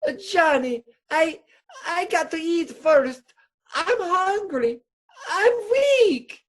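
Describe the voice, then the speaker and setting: A woman's voice: very high voice, expressive, slow pace, exaggerated voice. A woman playing a nervous, tipsy criminal doctor who placates hesitantly in broken English, in a radio stage comedy. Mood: weary.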